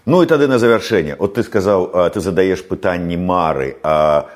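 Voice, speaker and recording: A man from Belarus conducts an interview, firing off short, teasing questions. His voice sits very low. His pitch still moves around a great deal from phrase to phrase. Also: lively intonation, a little fast. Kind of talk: casual interview.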